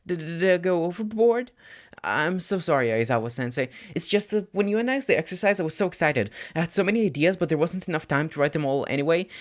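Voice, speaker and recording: A man's voice: on the high side, voice pushed up, close to an everyday voice. A man voicing an anxious, eager teenage schoolboy, hesitant and stammering, in an audiobook-style fan fiction reading.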